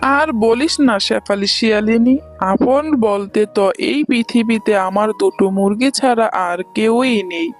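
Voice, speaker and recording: Lady doing a sad doting old village granny for a cartoon, drawn-out lamenting, music behind. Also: mid-range voice, natural voice. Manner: plaintive, lamenting. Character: poor, lonely, doting old village woman. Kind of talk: children's cartoon voice acting.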